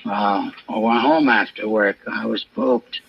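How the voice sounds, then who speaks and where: Surly ex-con on muffled old radio. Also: male voice, lowish voice, very animated, measured pace, gravelly, full character voice. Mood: defensive. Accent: American. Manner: grumbling, slangy, evasive. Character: surly, defensive male ex-convict garage mechanic. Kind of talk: 1950s radio drama acting. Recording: dull, noisy old radio recording.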